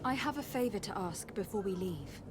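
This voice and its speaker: A woman's voice: medium pitch, animated, normal speed, background noise, close to an everyday voice. An actress playing a courteous, determined noblewoman envoy in a video game, speaking politely and formally. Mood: diplomatic. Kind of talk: video game cutscene acting. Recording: music playing behind the voice.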